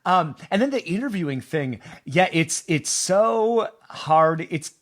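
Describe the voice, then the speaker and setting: A man's voice: sits high, animated, slow, a bit bright. A man with a Chicago accent with some Minnesota mixed in, chatting casually on a podcast, laid-back, with a few stammers and easy laughs. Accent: Chicago with a Minnesota-Dakota undertone. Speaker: podcast host interviewing a guest.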